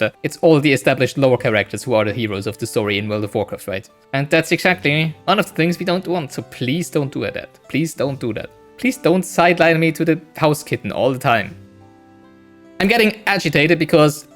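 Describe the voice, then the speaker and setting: A man streaming a game, chatting in a rambling casual way; English is not his first language. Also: fairly high pitch. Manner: rambling, chatty, keeps adding "right?". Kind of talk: casual let's-play commentary.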